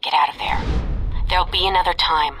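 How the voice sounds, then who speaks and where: An actress playing a mission handler in a video game, firmly urging an agent. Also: female voice, lowish voice, fairly even intonation, fairly quick, close to an everyday voice. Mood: urgent. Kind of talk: video game cutscene acting. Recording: clearly noisy background.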